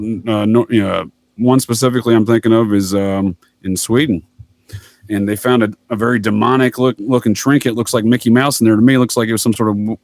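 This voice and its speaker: A man's voice: on the low side, no echo. A man speaking as a podcast guest, laying out theories assertively in conversation. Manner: assertive, addresses listener as "sir". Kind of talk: conspiracy-theory talk in a podcast interview.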